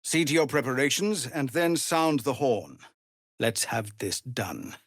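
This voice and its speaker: A middle-aged tiefling leader of refugees, grave, dutiful and commanding. His delivery is formal and unhurried, with a mid-pitched voice that swings expressively. It is dramatic video game acting, recorded very dry. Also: lively intonation, slightly rough, no echo. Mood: weary, firm. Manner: formal, measured, earnest, commanding.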